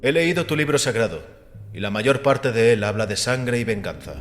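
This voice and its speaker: A man voicing a calm, assured and scornful critic of religion, measured and didactic, in a dramatized audiobook. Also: medium pitch, lightly acted. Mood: assertive, scornful. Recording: long reverberant hall with music behind. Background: music.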